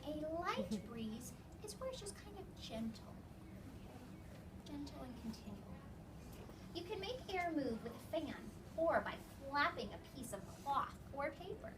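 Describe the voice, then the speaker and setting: High-pitched woman teacher reading aloud, distant mic. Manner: instructive, checking understanding with questions and frequent "okay". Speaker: elementary school teacher. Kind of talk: interactive read-aloud to a class of young children. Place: classroom, recorded from across the room. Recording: distant mic, noisy classroom background.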